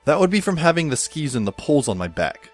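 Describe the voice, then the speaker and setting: A man's voice: a little high, big pitch swings, fast talker, faint music behind. A man playing a bewildered, wry teenage schoolboy who narrates an audio drama in a wordy, dramatic way. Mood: dazed, wry. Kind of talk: scripted first-person audio drama narration.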